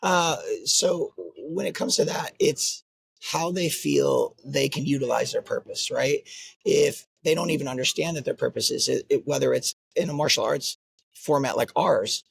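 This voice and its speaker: Gravelly raspy guy explaining how he teaches, keeps saying right?, dry close sound. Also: mid-range voice, normal speed, no room sound. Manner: earnest, repeats "right?" as a tag. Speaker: martial arts school instructor. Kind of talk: interview conversation.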